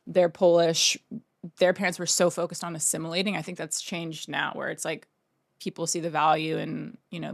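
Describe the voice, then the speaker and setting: Woman, thirties, from Oregon, rambling storyteller, low gravelly voice. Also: no echo, clean studio sound. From Oregon, United States. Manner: rambling, filler-heavy storytelling. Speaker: painter, interview guest. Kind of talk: casual interview conversation.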